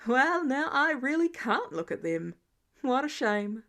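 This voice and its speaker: A woman's voice: high-pitched, very animated, a little slow, fairly bright tone, exaggerated voice. A woman voicing a flippant, childish grown man, a genius billionaire boss, in an audiobook-style story reading. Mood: mock regret.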